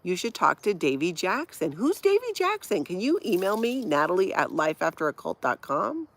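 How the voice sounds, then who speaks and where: Livestream host: middle-aged woman, light Minnesota accent, some vocal fry, casual and chatty. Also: lowish voice, big pitch swings, moderate pace. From Hawaii. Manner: chatty, laughs often, reacts to viewer comments. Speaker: livestream host reading chat. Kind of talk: casual live-chat talk.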